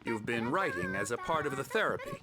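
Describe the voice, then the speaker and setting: A man playing a smug, condescending middle-aged psychiatrist in a video game, speaking in a patronizing, measured, lecturing way. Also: mid-range voice, very animated, natural voice. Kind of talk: video game cutscene acting.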